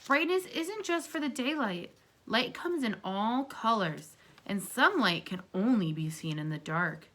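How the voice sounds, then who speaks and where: A woman's voice: fairly high pitch, expressive, a little slow, pushed and bright, heavily acted. A woman reading the part of a wise, kindly star in a children's storybook, with an earnest, uplifting manner. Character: wise kindly star affirming light and dark.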